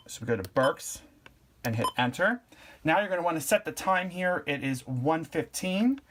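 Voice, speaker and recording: A man from Pennsylvania walks through a device setup in a casual how-to style. His delivery is relaxed and plainspoken, one step at a time. His voice sits a little high for a man. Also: moderate pace, slight background noise. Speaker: product reviewer and tutorial host.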